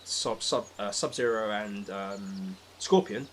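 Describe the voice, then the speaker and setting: Low-voiced British man, emphatic and sweary. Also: slow pace, slight background noise. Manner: emphatic, sweary, exasperated ranting. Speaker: podcast speaker. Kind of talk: casual opinionated film discussion.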